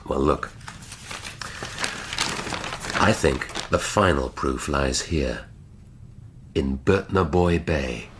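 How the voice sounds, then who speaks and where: Middle-aged English detective for radio drama: very deep, measured, brooding, faint background noise. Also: male voice, animated, exaggerated voice. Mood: reasoning, intent. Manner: measured, deliberate, dry. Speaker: actor.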